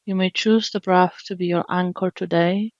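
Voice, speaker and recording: A woman leading an unscripted guided body scan meditation, speaking gently and soothingly with long pauses. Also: fairly low pitch, steady tone, dry recording, muffled recording. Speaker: meditation teacher.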